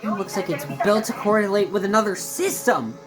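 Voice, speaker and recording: A woman's voice: medium pitch, fairly quick. A woman doing amateur voice acting of video game cutscene dialogue. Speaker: hobbyist voice actor. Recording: music behind the voice. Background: game music.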